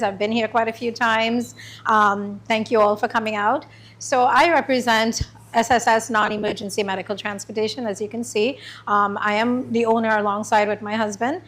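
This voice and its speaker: Middle-aged trinidad-born woman pitching her business, chatty with lots of ums, voice a bit on the high side. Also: fairly bright tone. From Trinidad and Tobago. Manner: chatty, friendly, many ums. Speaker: small business owner presenting. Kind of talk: informal pitch presentation. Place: meeting room.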